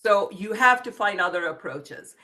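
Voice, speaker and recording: Bright-voiced Indian woman. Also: medium pitch, expressive, normal speed. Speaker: psychotherapist guest. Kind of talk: interview conversation.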